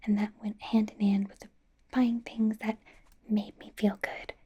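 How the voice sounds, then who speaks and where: A woman playing a bubbly, fawning young female K-pop idol stylist in a soft, breathy ASMR roleplay. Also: medium pitch, little pitch movement, highs cut off. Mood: sincere, reassuring. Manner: gushing, casual, slow, with repeated soft reassurances. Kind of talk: whispered ASMR roleplay, personal attention.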